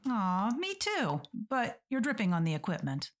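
A woman playing a blunt but soft-hearted podcast co-host in an audio drama, teasing and expressive. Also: medium pitch, moderate pace. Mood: fond, teasing. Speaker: podcast announcer character in a framing skit. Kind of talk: scripted audio drama dialogue.

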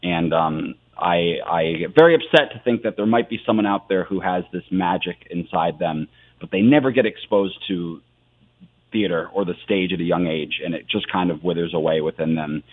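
A tinny, phone-like recording of an American man in his thirties being interviewed. His voice sits a little low, and he explains things in an animated, earnest, conversational way. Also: normal speed. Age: 30s. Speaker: theater artist, interview guest.

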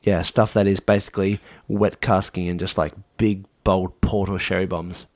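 A man's voice: deep voice, level tone, moderate pace, dry recording, studio quality. An Australian man co-hosting a podcast in casual chat, with a wry, jokey and opinionated manner. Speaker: podcast co-host.

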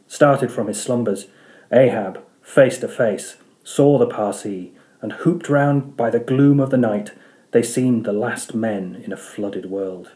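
A man's voice: mid-range voice, warm tone, echoey room. A man reading literary prose aloud as scripted audiobook narration. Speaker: audiobook reader.

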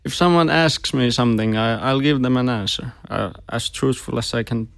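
A man hosting a casual podcast interview, chatty and a little halting. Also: mid-range voice, gravelly, fairly bright tone, no echo, studio quality. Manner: chatty, slightly halting, repeats words. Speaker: podcast host.

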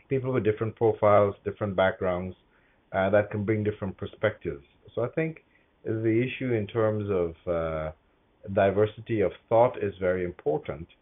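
A middle-aged man from Guyana with a low-pitched voice gives professional interview answers. He is measured and often pauses to find his phrasing. The sound is slightly dull and band-limited. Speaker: interview guest, corporate HR executive.

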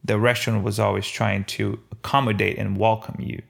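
A man from Brazil with a faint Brazilian accent, speaking casually in an interview as a restaurant owner, haltingly and repeating words as he thinks. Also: lowish voice, level tone, vocal fry, husky. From Brazil, Belo Horizonte. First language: Portuguese.

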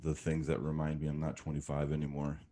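A man's voice: a bass voice, completely flat delivery, quick pace, dark tone. A man chatting casually in a dry, matter-of-fact way. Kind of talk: casual chat.

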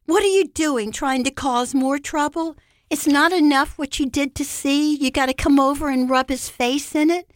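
A woman's voice: high-pitched, moderate pace, no echo, clean studio sound, pitched above the performer's own voice, natural voice. A woman reading the part of a belligerent, threatening man in a story, with biting, emphatic delivery. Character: belligerent, menacing angry man, threatening and insulting.

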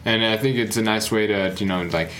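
A man's voice: lowish voice, fairly quick, a bit bright, slight background noise. A young man around twenty, co-hosting a podcast in casual, upbeat chat. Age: young adult. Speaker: podcast co-host.

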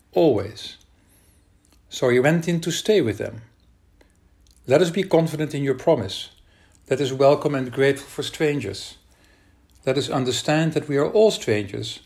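A man with a Dutch accent leading a worship service, reading scripture and praying in a calm, measured way. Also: medium pitch.

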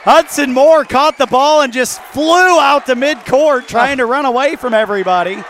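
A man's voice: very high voice, moderate pace, a bit bright, a faint crowd, slight background noise. An American man working as a play-by-play basketball announcer, his voice strained from heavy use. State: losing his voice. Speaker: play-by-play sports announcer and host. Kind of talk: excited basketball commentary.

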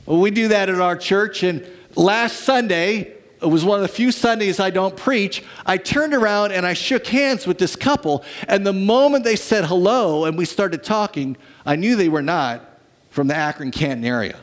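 A man preaching a sermon to his church as pastor, telling stories dramatically and swinging from lively to hushed. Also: sits high, normal speed.

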